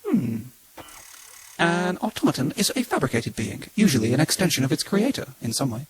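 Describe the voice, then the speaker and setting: A male actor voices an earnest robot messenger in a sci-fi audio drama. The voice sits a touch high with a husky grain, precise and formal in its wording. The sound is very dry. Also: big pitch swings, brisk, no room sound, full character voice, low-quality recording. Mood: earnest, insistent.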